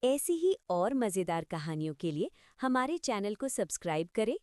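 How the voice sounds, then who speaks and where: A woman's voice: mid-range voice, big pitch swings, moderate pace, a little mellow, dry recording, studio quality. A woman channel host reading a scripted sign-off to her viewers.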